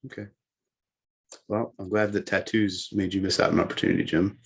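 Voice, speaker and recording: Male sports podcast co-host, lower register, giving casual countdown commentary. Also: level tone, a little fast, husky.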